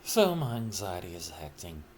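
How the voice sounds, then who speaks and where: A middle-aged man talking casually and reflectively about himself, in halting, broken phrases with trailing pauses. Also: deep voice, sing-song, a little hiss or hum. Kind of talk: casual personal monologue, rambling reflection.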